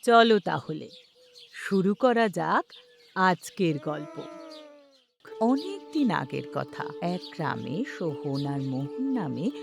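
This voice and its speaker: Lady telling a kids story, lowish voice but really lively intonation, faint music behind. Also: takes it slowly, creaky, long pauses. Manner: addresses young listeners directly. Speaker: storyteller, host. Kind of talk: scripted children's story narration.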